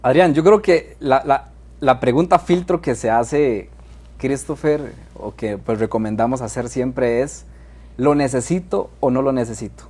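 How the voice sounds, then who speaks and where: A man's voice: mid-range voice, unhurried, a little mellow, slightly rough. A man, a financial advisor guest, answering thoughtfully with hesitant starts. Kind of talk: interview answers.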